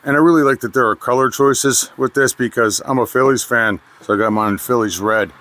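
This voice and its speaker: A man's voice: mid-range voice, brisk, fairly bright tone. A middle-aged man with a nasal voice, giving a plain, friendly product review.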